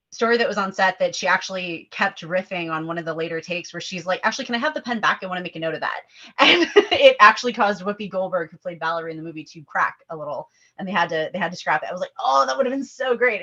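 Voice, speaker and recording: A woman hosting a casual film discussion, talking in an animated, opinionated way with rambling asides. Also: on the low side, lo-fi sound. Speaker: host leading a table-read discussion.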